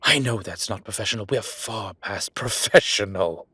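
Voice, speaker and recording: A man playing a flirtatious, wry immortal demon professor in an intimate, conversational audio roleplay, with a low husky voice and a teasing, playful manner. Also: lively intonation, moderate pace, sharp tone, no room sound, heavily acted. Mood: flustered, teasing. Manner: teasing, playful, breathy asides.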